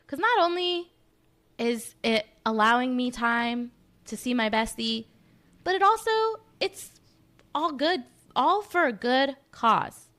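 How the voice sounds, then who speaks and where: Steady-reading woman co-host. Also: on the high side, slow. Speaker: co-host of a missing persons segment. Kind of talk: casual live show chat.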